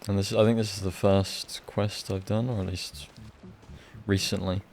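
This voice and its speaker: Lowish, gravelly male game streamer. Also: flat delivery, moderate pace, a little mellow, a little hiss or hum. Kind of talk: casual live gameplay commentary.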